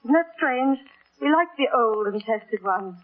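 An actress playing a witty, sharp-tongued young country gentlewoman with an arch, dryly teasing manner, in 1940s radio drama style. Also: fairly high pitch, expressive, measured pace. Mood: arch, cutting. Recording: muffled old 1940s radio broadcast.

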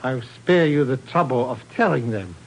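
An actor playing a polite, formal, two-faced villain with precise speech in a 1950s radio drama. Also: male voice, mid-range voice, expressive, normal speed, poor audio. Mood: coolly menacing. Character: smooth, formal, duplicitous Indonesian male oil geologist villain. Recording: old radio broadcast with hiss.

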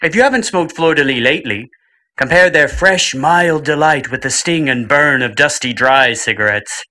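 A man's voice: a little high, normal speed, bright, forceful tone. A man playing an old-time radio host who introduces the program and its guest in a theatrical announcer style. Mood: salesy.

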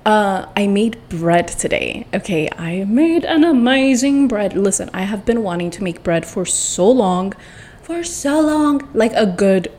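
A woman's voice: mid-range voice, animated, a little mellow. Woman vlogger w a stuffed up throat, voice cracks a bit, rambly n gushy, drags out words for emphasis. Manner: effusive and emphatic, with drawn-out words and playful asides. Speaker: vlogger addressing followers. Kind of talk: casual, rambling chat.

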